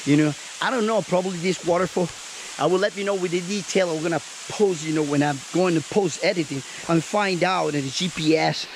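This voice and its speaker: A high-voiced man with as his talks excitedly outdoors beside a waterfall, chatty as he flies a drone. Loud hiss and rushing water sit behind his voice. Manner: excited, chatty, mixes English, Portuguese and Spanish. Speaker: vlogger and drone pilot. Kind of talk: casual outdoor vlog talk.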